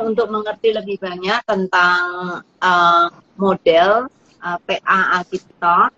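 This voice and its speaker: A woman's voice: lowish voice, pushed and bright, dull audio. A woman co-hosting an online Bible study stream, casual and friendly, asking the guests short questions.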